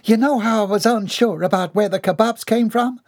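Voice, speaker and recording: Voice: medium pitch, normal speed, a little mellow, no echo, clean studio sound, pitched above the performer's own voice, lightly acted. A reader voicing a proud, fierce cook woman who speaks in a huffy, insistent way.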